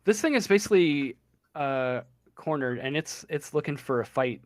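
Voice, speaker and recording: A man running a tabletop horror game as game master, narrating with many pauses and fillers. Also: a little high, lively intonation, no echo, studio quality. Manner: halting, many ums and uhs. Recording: online voice chat.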